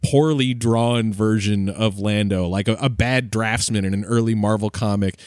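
A man's voice: on the low side, normal speed, darkish tone, no room sound, studio quality. A man on a podcast panel chatting casually, with a wordy, analytical way of talking. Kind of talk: casual discussion.

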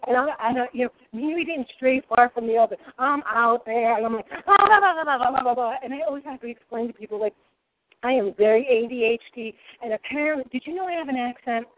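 A woman's voice: fairly high pitch, fast. A woman in her forties with a Rhode Island accent, a guest cook chatting in a boisterous, rambling way. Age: 40s. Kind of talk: casual chat. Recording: tinny phone-like sound.

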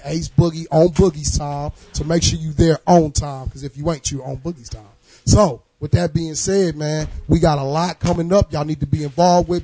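A hoarse man, a radio host, giving loose, profane shout-outs and plugging his show to friends. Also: a little high, no room sound. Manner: boisterous, profane, rhyming bits. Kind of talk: loose hype shout-out talk.

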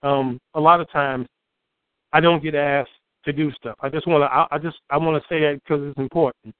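A man from Chicago, a poet and storyteller, telling his story in an interview with a booming voice and an outspoken, repetitive manner. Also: a little fast, smooth, no echo. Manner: outspoken, repeats words when excited. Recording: tinny phone-like line, dry.

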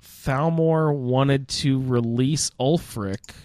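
Man, podcast host, mid-pitched voice, informal roundtable chat, dry recording. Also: extremely slow, a little mellow, dry recording. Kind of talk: casual roundtable discussion of a video game.

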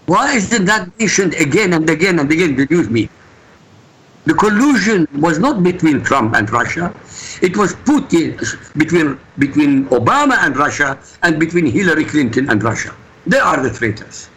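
A man's voice: on the high side, sing-song, moderate pace, a bit bright, a little hiss or hum, poor audio. A man from Iraq, a guest answering interview questions in an emphatic, insistent way. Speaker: guest commentator.

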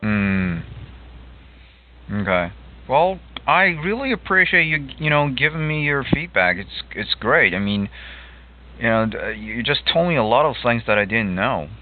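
A middle-aged man from Japan, speaking English as a second language in a relaxed chat, with many fillers and restarts. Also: medium pitch, a little slow, slight background noise. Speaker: host. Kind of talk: casual conversation. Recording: phone-like narrow audio line.

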